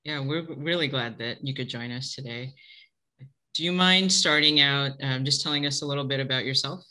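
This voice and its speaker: A woman's voice: a bass voice, normal speed, pushed and bright. A woman hosting an interview on a video call, asking friendly, encouraging questions. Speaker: host.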